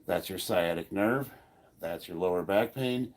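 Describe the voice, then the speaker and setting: A man's voice: low voice, measured pace. A man in his fifties who is stuffed up and short of breath, talking loosely and rambling as he coaches a training session. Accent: American. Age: 50s. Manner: loose and rambling, trailing off mid-sentence. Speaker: powerlifting coach. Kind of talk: casual training vlog.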